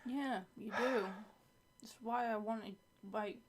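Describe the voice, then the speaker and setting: A woman's voice: on the high side, fairly even intonation, takes it slowly. A woman co-hosting a livestream with her partner, chatting casually, giggly and teasing. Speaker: co-host, host's partner.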